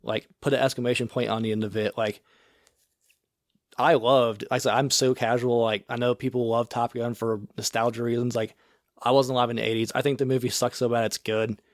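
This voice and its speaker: A man's voice: medium pitch, little pitch movement, brisk, no echo, studio quality. A young man in his twenties co-hosting a podcast, talking in casual, slangy, enthusiastic chat. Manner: enthusiastic, slangy, heavy use of "like". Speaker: podcast co-host.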